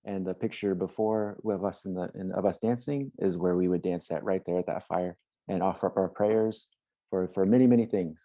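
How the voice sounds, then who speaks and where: Man presenting slides on a video call; very low and warm, plain explanatory delivery. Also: normal speed. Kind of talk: online presentation.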